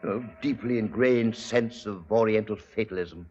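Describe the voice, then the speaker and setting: An actor playing a suave, menacing spice exporter in an old radio drama, speaking in a grandiose, courtly and deliberate way. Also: male voice, on the low side, a little mellow, a little hiss or hum, lightly acted. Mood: polite menace. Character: suave, menacing male spice exporter, slightly hard of hearing. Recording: dull, band-limited old radio recording.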